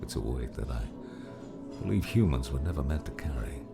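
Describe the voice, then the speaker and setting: Weary veteran guardian, played by a man, kindly older mentor type, very low and heavily creaky, music underneath. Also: expressive, fairly quick, exaggerated voice. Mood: weary, consoling. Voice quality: gravelly. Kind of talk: audiobook drama acting.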